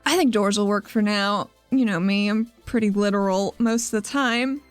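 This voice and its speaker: A woman playing a literal-minded, unsure and dryly flippant woman in a tabletop game, halting and understated. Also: medium pitch, soft background music, lightly acted. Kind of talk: improvised role-play with third-person narration.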